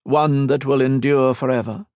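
A man's voice: mid-range voice, fairly even intonation, moderate pace, a little mellow, clear, resonant tone, dry recording, voice pushed up, natural voice. A man voicing Shinzan, an arrogant eternal being. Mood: disdainful. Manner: haughty, lofty, lecturing. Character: ancient arrogant male cosmic being, cold and contemptuous.

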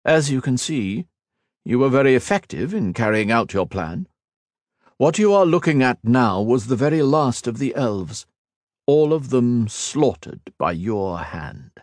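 A man voicing a cold, mocking villainous male sorcerer who taunts slowly and theatrically. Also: medium pitch, big pitch swings, darkish tone, no room sound, clean studio sound, voice pushed up. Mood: taunting.

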